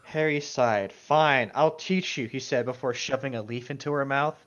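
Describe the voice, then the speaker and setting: Male co-host, medium pitch, casual read-aloud with sardonic swearing asides, slightly muffled lo-fi mic. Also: normal speed, very noisy. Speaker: co-host of a fan fiction reading show. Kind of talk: casual reading aloud with joking commentary.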